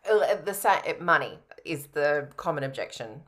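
A woman's voice: deep voice, lively intonation, moderate pace, a bit bright. A woman in her thirties on a video call, answering a coach's questions in a casual, hesitant way. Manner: hesitant, informal. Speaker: coaching client in a roleplay. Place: indoor room at home, on a video call.

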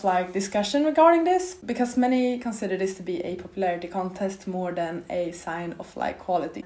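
A woman in her twenties talks to her camera in a half-empty new apartment, and the bare walls throw back a noticeable echo. A slight cold leaves her voice a little raspy. She rambles in a chatty, casual way, full of little fillers. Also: mid-range voice, a little mellow. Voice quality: a bit raspy. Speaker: book vlogger. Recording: echoey empty room.

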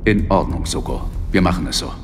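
Ein Schauspieler spielt in einem Hörspiel einen nüchternen, wachsamen britischen Polizeiinspektor mit sachlicher, skeptischer Art. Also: male voice, low voice, brisk, big-room sound, close to an everyday voice. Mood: wary, sober. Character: sober, wary male British police inspector. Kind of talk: naturalistic audio drama acting. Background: music.

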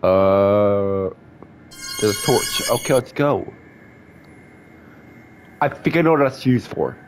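A man's voice: on the low side, expressive, slow, slight background noise. A man with a stuffed-up nose, casually playing a game and reading its dialogue aloud. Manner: reads character lines in his own voice, chatty asides, congested. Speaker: gaming YouTuber.

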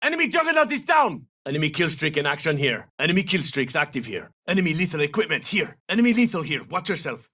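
An actor playing a tough, terse special forces soldier in a military shooter game, giving short, clipped, commanding tactical callouts. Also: male voice, fairly high pitch, big pitch swings, fast talker, few pauses, pushed and bright, distant mic, full character voice. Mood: terse, focused. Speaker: video game operator voice actor.

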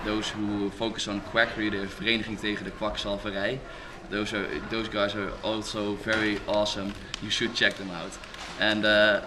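Young man, podcaster, halting speech, flat pitch, noisy hall. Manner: halting, many fillers and restarts. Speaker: skeptic podcaster. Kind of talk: casual interview at a conference. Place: large hotel hall at a conference.

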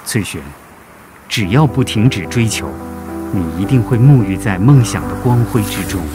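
Radio drama role: man as kindly, encouraging male teacher, lower register, heavy music bed. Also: normal speed, natural voice. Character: kind, encouraging male schoolteacher. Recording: music behind the voice.

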